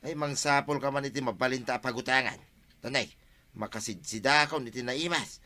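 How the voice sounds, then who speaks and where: A man's voice: medium pitch, brisk, pushed and bright, close to an everyday voice. A man with an Ilocano accent acting a broke, scheming village husband who wheedles for loans in a Filipino radio comedy drama. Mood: grumbling.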